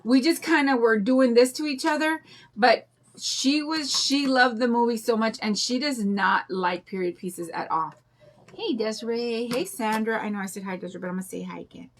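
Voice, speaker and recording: An older woman with a raspy voice and a drawl, chatting in a rambling way and greeting viewers while she demonstrates a craft on a livestream. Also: on the high side, normal speed. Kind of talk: casual chat during a craft demo.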